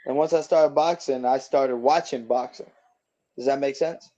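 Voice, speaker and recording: A man's voice: medium pitch, moderate pace, warm tone, muffled recording. A man in his twenties, a boxer guest, chatting casually in an easygoing, rambling way with lots of fillers. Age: 20s. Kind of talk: casual interview chat.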